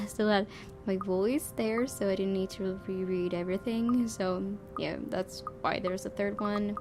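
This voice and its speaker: A woman hosting a gaming video, reading a visual novel aloud and commenting casually. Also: mid-range voice. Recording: clearly noisy home recording.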